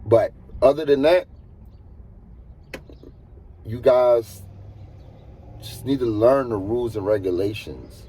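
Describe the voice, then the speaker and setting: A man with a husky voice, talking casually as a truck driver giving earnest, emphatic advice and repeating points for stress. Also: medium pitch, slow pace, pauses often, mellow. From United States. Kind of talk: casual advisory monologue.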